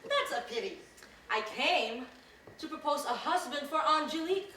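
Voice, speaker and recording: A woman playing the brisk, shrewd sister of a sick man, mocking and plotting in a live stage play. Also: sits high, expressive, pushed and bright. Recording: echoey theater from far off, noisy.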